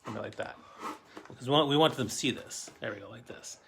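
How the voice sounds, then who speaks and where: A middle-aged Canadian man chats to camera as he hosts a family show. His voice is bright and forceful. He tells personal stories in a halting, rambling way, circling back as he goes. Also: mid-range voice, creaky, slight background noise. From Canadian Maritimes. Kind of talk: casual personal storytelling to camera.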